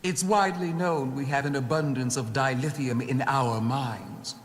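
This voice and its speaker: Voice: mid-range voice, moderate pace, close to an everyday voice. An actor voicing a proud, stern alien monarch in a video game, formal and imperious, pressing with pointed questions. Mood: stern, probing. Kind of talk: dramatic video game acting. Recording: noisy game audio, long hall reverb.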